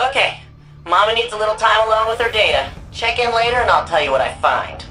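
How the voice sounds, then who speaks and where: Bright, animated female sci-fi researcher. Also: medium pitch, soft background music, low-quality recording. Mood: brisk, playful. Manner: excitable, quick-witted. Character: eager, eccentric female sci-fi data researcher. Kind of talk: video game voice acting.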